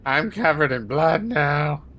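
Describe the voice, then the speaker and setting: A man with a fairly high voice and very animated intonation. He banters casually over a video game, sounding wry and slangy, with frequent exclamations. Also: slow. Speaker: gaming stream co-commentator.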